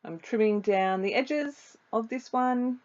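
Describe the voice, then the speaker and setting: A woman with a slightly croaky voice, casually narrating a voice-over for her craft video in a chatty way with frequent ums. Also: fairly high pitch, takes it slowly, a little mellow, lo-fi sound.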